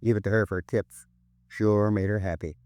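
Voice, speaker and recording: A man's voice: fairly low pitch, steady tone, normal speed, darkish tone, no echo, close to an everyday voice. An actor playing a remorseful American robber in his seventies, rambling wistfully in a 1950s radio drama. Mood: wistful pride. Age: 70s. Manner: rambling, wistful, clipped folksy sentences. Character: weary, remorseful 72-year-old robber confessing to police.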